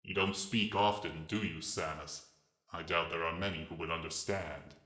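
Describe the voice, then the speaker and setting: A man voicing a huge old scaly pterosaur monster in a dramatic reading, raspy and ragged, with slow, mocking, grandiose menace. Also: low voice, steady tone, sharp tone, roomy sound. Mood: quietly musing. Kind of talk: dramatic reading of fan fiction.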